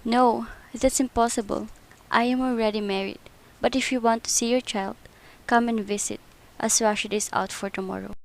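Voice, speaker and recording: A woman's voice: fairly high pitch, steady tone, normal speed, gravelly, low-quality recording. A young woman acting earnest lines in an amateur student radio play. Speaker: student voice actor.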